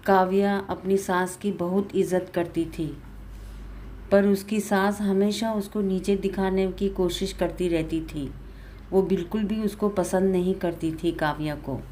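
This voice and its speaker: A woman's voice: medium pitch, fairly even intonation, a little slow, dark tone, slight background noise. A woman narrating a family story in an informal storytelling style.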